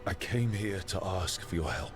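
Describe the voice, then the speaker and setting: A man voicing a calm, polite heroic adventurer in a video game, measured and sincere. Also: low voice, over music, lightly acted. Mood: calm, earnest. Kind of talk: video game dialogue acting.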